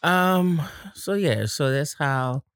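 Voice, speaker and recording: A man's voice: on the high side, lively intonation, takes it slowly, fairly bright tone, smooth, dry recording, studio quality. A man co-hosting a casual chat, teasing his partner in a laid-back, slangy way. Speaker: podcast co-host.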